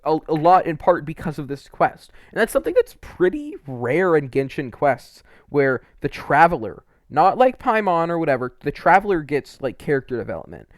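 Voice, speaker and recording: A man's voice: a little high, very animated, moderate pace, few pauses, no room sound, studio quality. A man giving casual, unscripted, opinionated game commentary in a rambling, emphatic way. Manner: rambling, frequent "like" and mild swearing. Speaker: video creator reviewing game quests.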